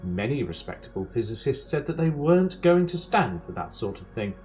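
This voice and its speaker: A man playing the wry, deliberate, knowing narrator of a space guide in a scripted comic audio drama. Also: medium pitch, very animated, faint music behind, lightly acted.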